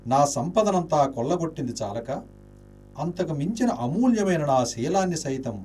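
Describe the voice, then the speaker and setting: An actor playing an honourable, impoverished, melancholy gentleman in an Indian radio play, speaking in a declamatory, dramatic way. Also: on the high side, expressive, moderate pace, soft background music, natural voice. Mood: despairing. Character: Charudatta, noble impoverished gentleman, honourable, self-pitying, melancholy.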